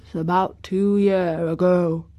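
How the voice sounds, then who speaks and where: A woman's voice: on the low side, fairly even intonation, slow, mellow. A woman voicing a crabbed but tender old gardener with a Yorkshire accent, dry and grumpy, in a storybook reading. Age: older.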